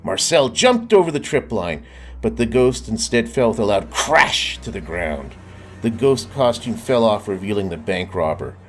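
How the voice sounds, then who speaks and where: A man reading a children's storybook aloud in a lively way, often stopping to ask the listener questions. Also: on the low side, moderate pace. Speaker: storybook reader. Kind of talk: children's book read-aloud.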